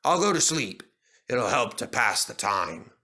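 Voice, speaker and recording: Man playing a wise old male steam engine, stern, old-fashioned, bright forceful edge, storybook character. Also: mid-range voice, big pitch swings, measured pace. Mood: wistful.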